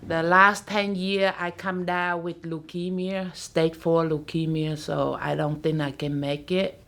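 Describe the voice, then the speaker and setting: A middle-aged woman who speaks English as a second language, talking earnestly in a halting way in a documentary interview. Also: deep voice, little pitch movement, fairly bright tone. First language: not English. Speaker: interviewee, salon owner.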